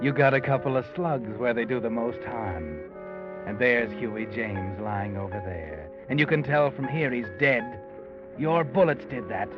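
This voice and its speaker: An actor playing a sardonic, ominous narrator who taunts in second person, in 1940s radio suspense style. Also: male voice, mid-range voice, lightly acted. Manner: knowing, taunting. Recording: muffled old radio broadcast. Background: loud dramatic music.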